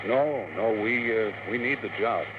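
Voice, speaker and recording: An actor playing a plain-spoken, laconic rocket pilot in a 1950s radio drama. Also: male voice, mid-range voice, a little slow, close to an everyday voice. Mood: hesitant. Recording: very noisy, tinny 1950s radio recording.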